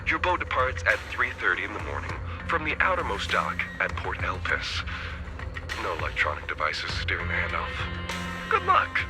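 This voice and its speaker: An actor playing a condescending villainous handler in a video game, speaking in a measured, sardonic, formal way, often breathy. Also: male voice, low-pitched, huge pitch swings. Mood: coolly dismissive. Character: coldly polite, condescending male villainous handler. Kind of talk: dramatic video game voice acting. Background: music.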